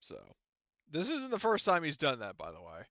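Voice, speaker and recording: A man narrating a tabletop game as game master in a casual, halting, rambling manner. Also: on the high side, huge pitch swings, a bit bright, no echo, lo-fi sound. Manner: halting, rambling, filler-heavy explanations.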